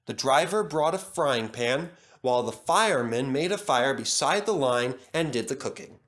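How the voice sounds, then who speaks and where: A man's voice: mid-range voice, animated, moderate pace, fairly bright tone. A man reading scripted narration for a children's story as the storyteller.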